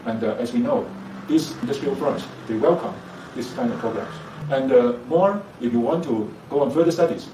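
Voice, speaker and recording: A man's voice: mid-range voice, expressive, normal speed, few pauses. A male university lecturer whose first language is Chinese, explaining things in an interview with frequent "uh" fillers. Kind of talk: interview for a program promo. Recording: echoey room, distant mic, background noise.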